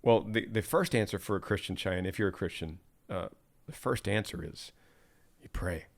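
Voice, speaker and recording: Male podcast host, fairly low voice, relaxed conversational delivery. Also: moderate pace, creaky. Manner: relaxed, with soft filler sounds and restarts. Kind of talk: conversational podcast, reading listener questions.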